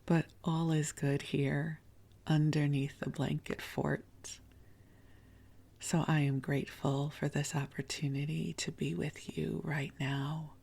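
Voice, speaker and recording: A middle-aged woman hosting a sleep podcast, speaking slowly and softly, half in a whisper. She has a froggy, gravelly morning voice and records from bed under a blanket fort. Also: low-pitched, flat delivery. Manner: slow, soothing, partly whispered. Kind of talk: soft-spoken sleep podcast talk.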